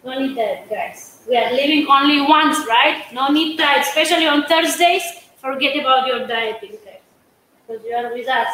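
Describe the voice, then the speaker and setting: A woman's voice: sits high, normal speed, pushed and bright. A middle-aged woman from Georgia with a Georgian accent, demonstrating a cake in chatty, broken English and coaxing her viewers along. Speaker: pastry chef. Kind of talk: live cooking demonstration. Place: large studio kitchen, indoors. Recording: echoey studio kitchen, faint hum.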